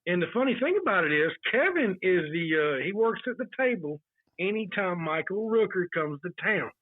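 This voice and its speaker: A man's voice: high-pitched, sing-song, normal speed, no room sound. A man telling anecdotes as a guest on a casual podcast, with lots of fillers. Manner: storytelling with many fillers. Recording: tinny phone-line sound.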